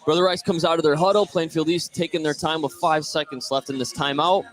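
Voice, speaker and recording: A man doing live basketball play-by-play as the announcer, energetic and lifting his voice on scoring plays. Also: on the high side, a little fast. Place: indoor gym at basketball tournament. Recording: noisy gym ambience behind voice. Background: gym ambience.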